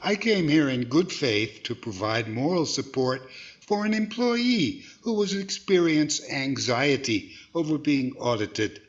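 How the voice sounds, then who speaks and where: A man plays a flustered, earnest straight man in old-time radio comedy. His voice is fairly high and lively, defending himself hesitantly, on a slightly dull recording. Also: unhurried. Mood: earnest protest. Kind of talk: 1940s radio comedy acting.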